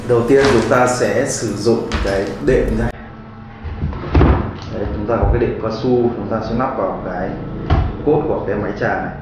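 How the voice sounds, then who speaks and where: A man casually demonstrating a power tool at a workshop table, pausing often with fillers. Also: fairly low pitch, very noisy. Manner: casual, halting with many fillers. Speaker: product demonstrator. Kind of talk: product unboxing and demo. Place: indoor workshop at a wooden table. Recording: echoey room from far off, loud music under.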